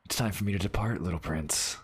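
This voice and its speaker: An actor in an audio drama playing a vengeful rebel assassin, slow, ominous and full of cold contempt. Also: male voice, low voice, a little hiss or hum, close to an everyday voice. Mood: menacing contempt. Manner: slow, deliberate, ominous, taunting. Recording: dramatic music behind the voice. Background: music.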